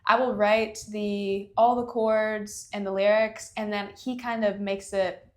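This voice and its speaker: A woman's voice: mid-range voice, little pitch movement, a little slow. Chatty young woman with frequent laughs. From Athens, Georgia. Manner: chatty, laughs often, many fillers like "you know". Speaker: singer-songwriter guest. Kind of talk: casual interview chat.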